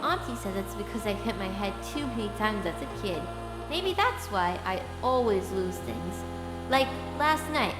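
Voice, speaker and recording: A woman playing an anxious, apologetic, cash-strapped young streamer in an audio drama, halting and self-justifying. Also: medium pitch, natural voice. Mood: brooding. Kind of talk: audio drama acting with narration.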